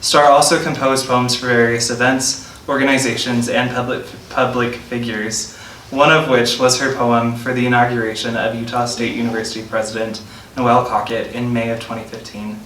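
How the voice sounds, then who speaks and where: Male event host, bright tone, reading prepared introduction, echoey room with faint noise. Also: mid-range voice, flat delivery, moderate pace. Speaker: host introducing a featured poet. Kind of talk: reading a scripted introduction aloud. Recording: roomy, distant mic, faint noise.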